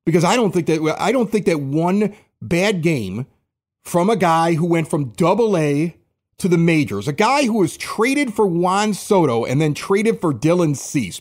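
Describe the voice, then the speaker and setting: Fired-up man ranting, lively high voice. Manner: fired up, defensive, emphatic. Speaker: podcast host. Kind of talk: heated podcast rant.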